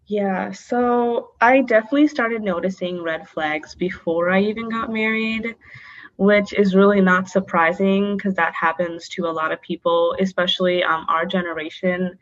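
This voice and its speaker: A woman's voice: medium pitch, steady tone, moderate pace, dull audio. A young woman guest on a podcast, answering openly with frequent "um" and "like". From North Dakota, born in Bangladesh. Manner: open, many fillers like um and like. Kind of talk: candid interview answers.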